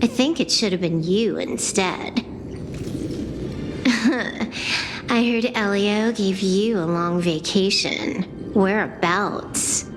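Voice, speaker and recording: A woman's voice: mid-range voice, slow, noisy background, close to an everyday voice. An actress playing a sly, nonchalant young female hacker in a video game, with a dry, teasing way of talking. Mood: casual, teasing. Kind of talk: scripted video game voice acting. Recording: loud music under the voice. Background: game music.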